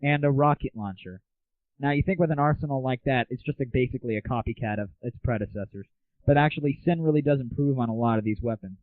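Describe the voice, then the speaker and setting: A man's voice: fast talker, dry recording. Young American male host, sarcastic and chatty, dry phone-like lo-fi sound. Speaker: host of an online gaming audio show. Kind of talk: casual comic rant and game review. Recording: tinny, phone-like low-bandwidth audio, very dry.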